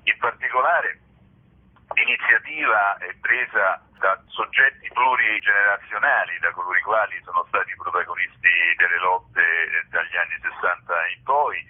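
A man speaking as a radio guest, explaining a project in measured, formal wording. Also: deep voice, breathy edge. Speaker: activist, guest presenting an archive project. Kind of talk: formal explanatory phone interview. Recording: narrow muffled phone line.

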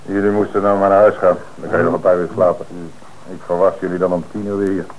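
An actor playing a calm, methodical police commissioner in an old radio play, speaking in a measured, firm way. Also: male voice, low-pitched, narrow, tinny audio, a little hiss or hum, close to an everyday voice. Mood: thoughtful. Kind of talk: old Dutch radio drama acting.

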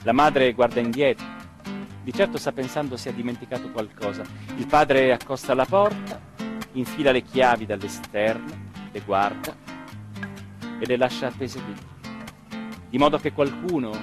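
An actor playing a weary, brooding village man in a theatrical monologue, speaking solemnly and deliberately with dramatic pauses. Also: mid-range voice, close to an everyday voice. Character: weary, brooding village man, mayoral candidate addressing voters. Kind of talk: theatrical monologue, literary narration.

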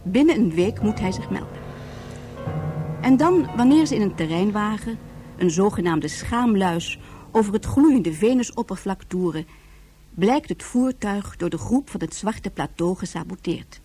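Mellow-voiced woman narrator. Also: mid-range voice, animated, a little slow. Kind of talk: scripted radio drama narration. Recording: faint music behind voice.

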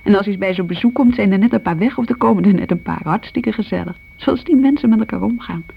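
Muffled radio drama, actress as a homely woman in her thirties, gravelly voice, shy, confiding and self-excusing. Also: medium pitch, fast talker, a little hiss or hum, natural voice. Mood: chatty, puzzled. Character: homely young mother, shy, wistful younger sister. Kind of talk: radio play monologue, casual confiding. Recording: muffled old radio recording.

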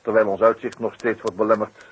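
An actor playing a wry, wordy detective with dry irony in a 1960s radio drama. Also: male voice, fairly low pitch, level tone, brisk, natural voice. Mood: dry, ironic. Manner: dryly ironic, wordy. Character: wry, unhurried detective helping the local police. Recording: old radio recording with hiss.

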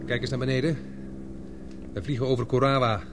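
A man's voice: mid-range voice, little pitch movement, a little fast, noisy background, lightly acted. An actor playing an earnest, protective young man in a radio drama, speaking in a hesitant, reassuring way. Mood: calm, earnest. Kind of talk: radio drama acting in Dutch.

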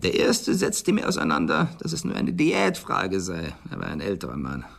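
A capricious millionaire in a radio play, with a husky, trembling voice that leaps about wildly. He is imperious and vehement, repeating himself as he turns shrill and creaky. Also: huge pitch swings, normal speed, a bit bright, exaggerated voice. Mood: guarded, brooding. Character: capricious, agitated millionaire obsessed with a nightly dream. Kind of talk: German radio play acting.

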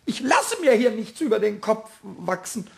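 Squeaky, defiant little kobold. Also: male voice, normal speed, creaky. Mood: defiant grumbling. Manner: excitable, stammering repetitions, indignant. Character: small, jealous, cheeky, rhyming male kobold, childishly defiant. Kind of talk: German children's radio play acting.

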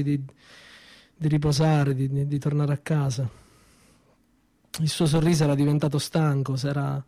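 A young adult man, performing a first-person stage monologue in a conversational, hesitant way, repeating words and dropping softly at times. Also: on the high side.

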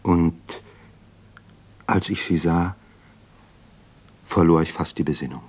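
A man playing an introspective young doctor in a radio drama, with a husky, breathy voice. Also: deep voice, takes it slowly, darkish tone, exaggerated voice. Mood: brooding, haunted. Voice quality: husky, breathy, at times near whisper. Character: young male doctor, brooding, introspective, haunted. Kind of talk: German radio drama acting, inner monologue.

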